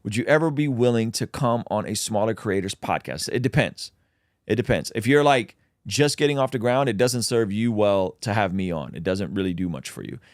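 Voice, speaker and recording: A man's voice: fairly low pitch, lively intonation, moderate pace, dry recording, studio quality. A man, a native Russian speaker, hosting a casual livestream where he reads out chat questions and answers them with plenty of ums.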